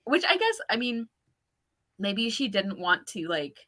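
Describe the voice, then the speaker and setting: A woman's voice: mid-range voice, unhurried. A woman co-hosting a casual book discussion, talking informally and animatedly, often acting out quotes as she goes. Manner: animated, informal, quotes characters and thoughts in talk. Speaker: co-host of a book discussion show.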